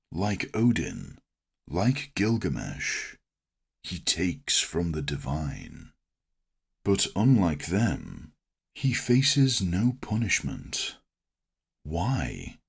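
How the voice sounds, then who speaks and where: Male narrator for scripted mythology documentary: gravelly, swooping intonation, dramatic, deliberate delivery. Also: deep voice. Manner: dramatic, deliberate, with weighty pauses and rhetorical questions.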